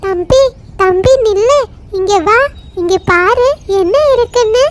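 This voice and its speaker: A woman's voice: very high-pitched, slow. A woman voicing a sensible, obedient young girl bird in a children's cartoon story. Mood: calling.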